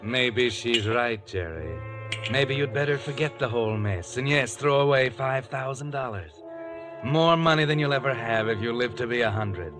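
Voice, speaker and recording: An actor playing a sly, taunting narrator who speaks like a guilty conscience in a 1940s radio thriller. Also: male voice, medium pitch, lively intonation, normal speed, lightly acted. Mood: taunting, ominous. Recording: vintage radio broadcast. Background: dramatic music.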